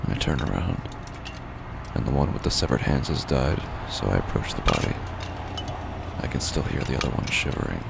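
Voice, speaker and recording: An actor playing a broken, haunted man who narrates horror audio drama slowly and grimly, his gravelly voice often sinking into a whisper. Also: sing-song, very noisy, exaggerated voice. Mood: bleak, resigned. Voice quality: very deep gravelly fry, often breaking into whisper. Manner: slow, grim, deliberate delivery.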